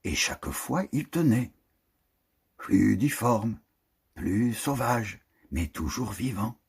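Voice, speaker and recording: A very husky, breathy man reads literary prose poetry. His delivery is slow and solemn, with deliberate phrasing and a pitch that swings widely as he declaims. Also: animated. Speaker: reader of a prose poem.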